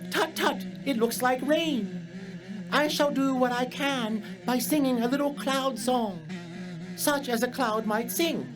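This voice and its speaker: High slow little storybook bear, earnest n kinda pompous, music behind him. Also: male voice, poor audio. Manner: earnest, deliberate, mildly pompous. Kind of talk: audio drama acting for a children's story.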